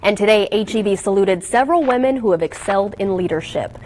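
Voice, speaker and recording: A woman's voice: medium pitch, animated, quick pace, darkish tone. A woman anchoring local television news with a scripted delivery.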